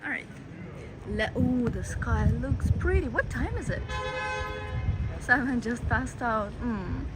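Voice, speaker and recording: A woman's voice: on the high side, expressive, slow. A chatty woman on a busy street. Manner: chatty, reads out chat comments. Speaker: travel vlogger. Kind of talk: live-stream walking tour. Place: city street outdoors. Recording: street noise and traffic behind.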